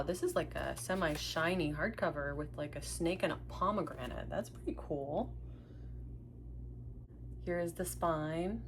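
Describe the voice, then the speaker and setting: A woman's voice: lowish voice, noisy background. A young woman, Russian her first language, chatting casually as she unboxes books and reads blurbs aloud at home, laughing at her own stumbles. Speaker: book unboxing vlogger.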